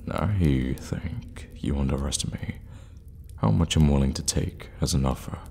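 A man playing a seductive, menacing evil warlock in an ASMR roleplay, teasing in a breathy murmur with halting pauses. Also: darkish tone, a little hiss or hum, exaggerated voice. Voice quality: breathy low murmur, often whispering. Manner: slow, teasing, halting for effect. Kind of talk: intimate ASMR roleplay acting. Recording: long reverberant cave-like echo.

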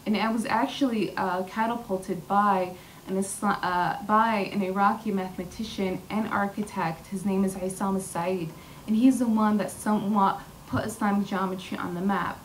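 A woman's voice: mid-range voice, steady tone, moderate pace, slight background noise. A young woman giving an earnest personal talk from a podium, with frequent fillers and restarts. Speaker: featured artist speaker. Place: large indoor hall with glass wall. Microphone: podium mic. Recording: distant room mic, faint hall ambience.

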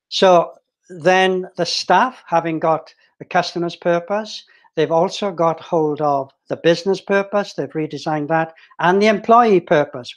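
An older man giving a conversational presentation on a video call, picked up by his webcam in a small room at home. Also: on the high side. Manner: conversational, restarts and fillers while explaining. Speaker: meetup presenter, business consultant.

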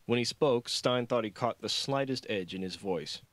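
A man's voice: medium pitch, moderate pace, dry recording. A man reading his own novel aloud as scripted audiobook narration.

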